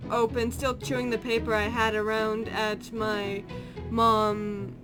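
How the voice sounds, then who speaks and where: Woman, amateur story narration, high voice, slow halting pace, background music. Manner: halting, corrects misread words.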